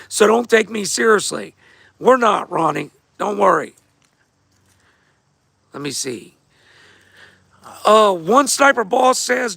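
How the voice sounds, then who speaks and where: A man hosting a casual livestream, answering viewer questions in a hoarse, damaged voice and talking straight to his audience. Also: high-pitched, fairly bright tone, dry recording. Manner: laid-back, repeats himself, talks straight to viewers. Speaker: host of a fight show answering viewer questions.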